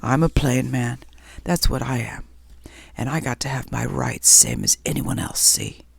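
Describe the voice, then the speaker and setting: A woman reader voicing a big, beefy, belligerent man with a gruff, loud, lowered delivery. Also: wildly swooping pitch, a little slow, heavily acted.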